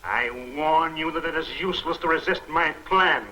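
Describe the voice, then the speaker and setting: Casting: menacing serial villain, high piercing voice, deliberate commanding threats, vintage 1940s film sound. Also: male voice, sharp tone, a little hiss or hum, poor audio. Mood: cold menace. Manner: slow, theatrical, commanding delivery. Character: menacing invisible criminal mastermind issuing threats to businessmen.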